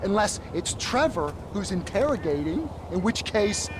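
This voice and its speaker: A man's voice: sits high, very animated, moderate pace, noisy background. A man playing a jittery, sardonic criminal associate in a video game. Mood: wary, sardonic. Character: jittery, self-protecting male criminal associate. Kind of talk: video game cutscene acting. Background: music.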